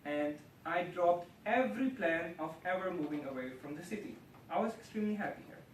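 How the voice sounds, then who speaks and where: A man, giving a dramatic club speech and swinging between loud exclamations and hushed asides. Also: high voice, lively intonation, fairly quick. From Pakistan. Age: late 20s. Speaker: public speaking club member. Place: meeting room. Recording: echoey room, distant mic, faint noise.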